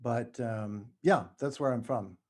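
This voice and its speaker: Halting middle-aged man in casual conversation. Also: medium pitch. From New Mexico, United States. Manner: halting, self-correcting. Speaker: podcast guest, writer.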